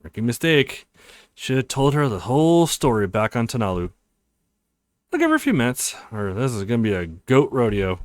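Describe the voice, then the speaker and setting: A man reading visual novel dialogue as a wry, caring adult brother who gently teases his sister. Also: mid-range voice, wildly swooping pitch, normal speed.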